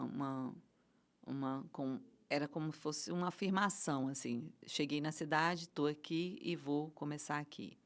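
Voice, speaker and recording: Brazilian woman guest, kinda low voice, passionate, lots of restarts and fillers. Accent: Brazilian Portuguese. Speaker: guest, event producer. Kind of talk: casual conversational interview.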